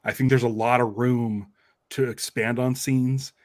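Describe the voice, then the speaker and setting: An enthusiastic man chats casually as a podcast host, working out his ideas aloud in loose, rambling fragments. His voice sits at a middle pitch. The sound is dry and close, with very little room. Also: little pitch movement, a little slow, no echo. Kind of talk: casual fan discussion.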